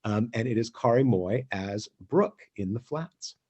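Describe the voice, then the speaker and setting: A man's voice: lowish voice, expressive, unhurried, darkish tone, slightly rough. A man hosting a casual online theatre talk, introducing clips in a hesitant, conversational way. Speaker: host and theatre director.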